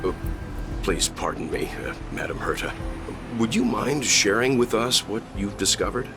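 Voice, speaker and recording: Man playing a deep-voiced, polite, reserved gentleman. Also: expressive, normal speed, music in the background, natural voice. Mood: awkward, deferential. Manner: deferential, hesitant, courteous. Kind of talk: video game voice acting.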